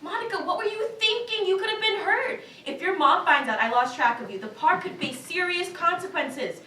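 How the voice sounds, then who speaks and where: A woman's voice: extremely high pitch, lively intonation, moderate pace, pushed and bright, exaggerated voice. A woman playing an exasperated, responsible boss in a staged reading of a cartoon script. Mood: scolding. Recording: distant echoey room, faint noise.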